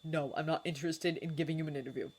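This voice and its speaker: A woman voicing a terse, fed-up teenage boy wizard in her own low voice. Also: sing-song, takes it slowly, heavily acted. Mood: curt. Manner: curt and cold.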